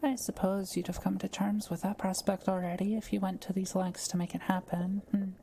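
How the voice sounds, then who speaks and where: A woman playing a kind, curious forest witch in an intimate audio roleplay, speaking gently and thoughtfully with polite, measured phrasing. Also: medium pitch, little pitch movement, slight background noise, close to an everyday voice. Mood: mildly concerned. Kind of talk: intimate scripted audio roleplay addressed to the listener.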